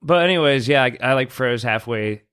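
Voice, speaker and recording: A woman with a lively, swooping voice, recorded dry and close. She chats and jokes casually as a comedy podcast host, giggly and self-deprecating. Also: very deep voice, a little fast, clear, resonant tone, dry recording. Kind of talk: casual comedic storytelling and banter.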